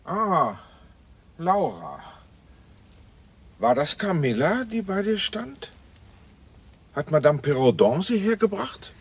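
An actor playing a protective, fond middle-aged father and general in a radio drama, with a husky, breathy voice. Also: a little high, sing-song, slow pace, pauses often, full character voice. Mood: anxious, puzzled.

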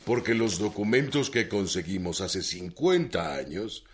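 A man's voice: fairly low pitch, lively intonation, a little slow, slight background noise. An actor playing an earnest, deferential village spokesman in a radio drama.

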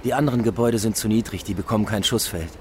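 An actor playing a stubborn, defiant police detective in a radio drama, terse and determined. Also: male voice, lowish voice, very fast, noisy background, natural voice.

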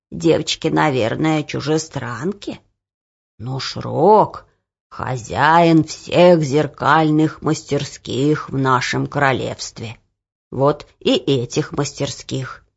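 Lady voicing a tired old wrinkly worker man, quiet and slow, gravelly, muffled sound. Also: extremely low pitch, voice pushed down, exaggerated voice. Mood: weary. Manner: quiet, weary, hushed warnings. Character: stooped, wrinkled old male workshop laborer. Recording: dull lo-fi recording.

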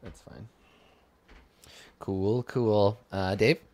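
A man's voice: lowish voice, steady tone, very slow, a little mellow. Chatty, upbeat male stream host. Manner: chatty, upbeat, many fillers. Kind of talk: casual stream sign-off.

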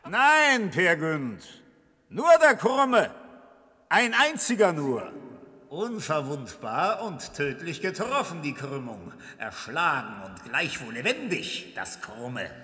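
Shapeless mocking spirit for radio drama: highish, sharp, very drawn-out riddling, deep reverb. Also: male voice, sing-song, full character voice. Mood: gloating riddles. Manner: slow, riddling, gloating. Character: the Crooked One, shapeless taunting invisible troll spirit. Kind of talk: German radio drama acting. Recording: long hollow hall reverb.

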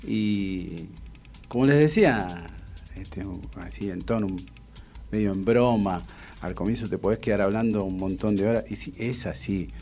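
A man's voice: on the low side, normal speed, mellow, soft background music. A man with an Argentine accent hosting a casual radio interview, rambling conversationally as he searches for his words. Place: radio studio.

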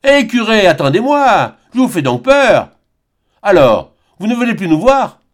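Guy doing a loud jeering old country uncle, high and swinging, reading a novel aloud. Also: big pitch swings, unhurried. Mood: mocking. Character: mocking rough old peasant uncle.

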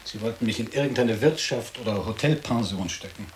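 A middle-aged man playing a nostalgic former boarding-school pupil in a radio drama, speaking in a reflective, deliberate, literary way. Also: lowish voice, slight background noise, lightly acted. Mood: reminiscing.

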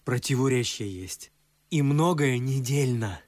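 A man acting an obsequious young secretary in a radio play of classic verse. His mid-pitched voice is meek and careful, deferring like a clerk to his master. The sound is dry. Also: animated, a little slow, a little mellow, no echo, natural voice. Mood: meek, servile.

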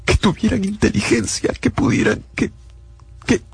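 A male actor playing a horrified eyewitness in a radio drama, halting and stammering as he recounts what he saw. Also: high voice, big pitch swings, creaky, breathy edge, full character voice. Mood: horrified, distressed. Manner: halting, stammering, repeats and corrects himself. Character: shaken male eyewitness recounting a monster invasion, horrified, faltering. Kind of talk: dramatized audiobook radio-play narration. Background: loud dramatic music.

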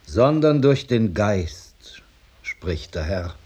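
Radio play tramp, weary oddball. Lowish, husky, solemn and extremely drawn out. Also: male voice, big pitch swings, extremely slow, very noisy, full character voice. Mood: solemn, slow. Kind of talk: German radio play acting.

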